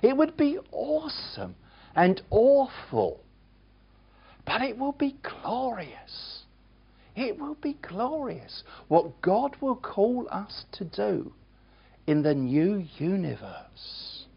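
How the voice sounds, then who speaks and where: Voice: medium pitch, big pitch swings, slow, creaky, slightly rough, highs cut off. A preacher delivering a sermon, emphatic and earnest, with chatty, self-deprecating asides to the congregation.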